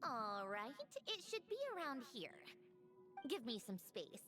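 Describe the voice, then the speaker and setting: A calm young heroine in a fantasy game, soft and reflective in how she speaks. Her voice sits fairly high and rises and falls a great deal, measured even in quiet moments. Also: over music. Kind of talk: game voice acting.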